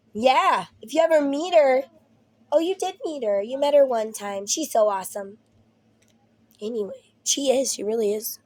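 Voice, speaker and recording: A woman's voice: on the high side, a little mellow. Rambling woman, losing her voice. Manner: rambling, swearing, laughing asides. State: ill with Covid. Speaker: vlogger. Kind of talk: casual chat to camera. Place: at home.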